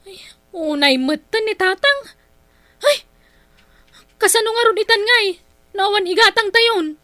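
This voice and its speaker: A woman's voice: very high-pitched, animated, a little slow, bright, forceful tone, dry recording, heavily acted. A woman playing a dutiful, long-suffering, outspoken eldest daughter in an earnest, emotional radio drama style. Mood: worried. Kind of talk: Ilocano radio drama acting.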